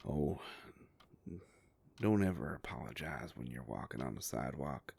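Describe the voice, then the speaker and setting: A man playing a kindly, protective werewolf private investigator in an intimate audio drama, with a gravelly voice and an easygoing, folksy way of talking. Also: rumbling low, lively intonation, moderate pace, darkish tone, no echo, heavily acted. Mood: reassuring, businesslike.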